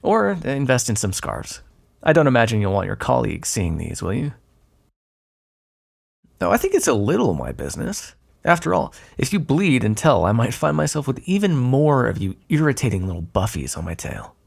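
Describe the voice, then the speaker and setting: A man playing a smug, predatory vampire in an ASMR roleplay, taunting and flirtatious, with a gravelly, breathy voice. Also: medium pitch, very animated, normal speed. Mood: mocking banter. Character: predatory, teasing male vampire, smug and seductive.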